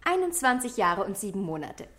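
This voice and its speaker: A woman's voice: mid-range voice, big pitch swings, brisk, a bit bright. An actress playing a cool, world-weary, provocative woman of twenty-one, aloof and flippant, in a German radio play.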